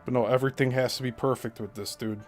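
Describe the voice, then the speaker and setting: A man's voice: medium pitch, fairly even intonation, fast talker, soft background music. A man hosting a reaction commentary over clips, scornful and profane, mocking the person in them.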